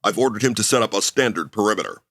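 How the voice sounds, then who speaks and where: An actor playing an eager, boyish spaceship commander, earnest and halting, in a comic sci-fi audio drama. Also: male voice, on the low side, expressive, very noisy.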